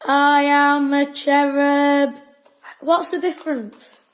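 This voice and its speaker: A woman's voice: sits high, flat delivery, slow pace, slight background noise. A woman playing a teasing, playful working-class child in a Victorian audio drama, with clipped, informal speech.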